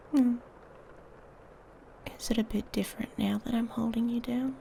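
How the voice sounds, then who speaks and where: A woman playing an irritated, haughty female werewolf in an intimate ASMR roleplay, breathy and often whispering, taunting and seductive. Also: a little high, dark tone, a little hiss or hum. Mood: seductive, daring.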